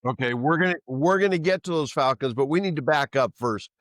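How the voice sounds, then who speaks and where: A man's voice: on the high side, a little fast, fairly bright tone, dry recording, clean studio sound. A man hosting an interview podcast over a remote call, chatty and self-deprecating with many fillers, while he has bronchitis. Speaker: podcast host.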